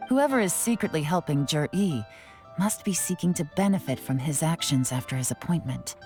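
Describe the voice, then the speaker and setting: Female game role: composed, analytical sleuth, measured and knowing, slightly low voice, music underneath. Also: expressive, darkish tone. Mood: shrewd, analytical. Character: shrewd, composed female investigator, secretive and teasing. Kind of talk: scripted video game dialogue.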